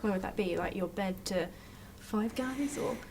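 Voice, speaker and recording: A woman's voice: mid-range voice, a little slow, noisy background. A woman asking questions in a played interview clip, giggly and flustered. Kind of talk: press junket interview.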